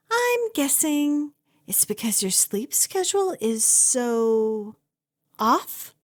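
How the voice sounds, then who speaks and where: A woman's voice: sits high, heavily acted. A woman playing an obsessive, lovestruck kidnapper in an ASMR roleplay, sweetly chatty and possessive, rambling with hesitant asides to the listener. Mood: relieved, fussing.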